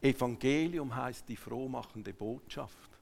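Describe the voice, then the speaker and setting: A man with a Swiss German accent preaching a sermon to a congregation, emphatic and swinging between hushed asides and raised declarations. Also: mid-range voice, a little slow, vocal fry. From Switzerland. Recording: long hall reverberation.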